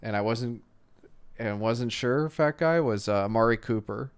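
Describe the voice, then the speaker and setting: A man's voice: medium pitch, measured pace, clear, resonant tone. A man co-hosting a sports stream, explaining his points casually with frequent asides. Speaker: sports livestream co-host. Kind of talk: casual analytical sports and betting chat.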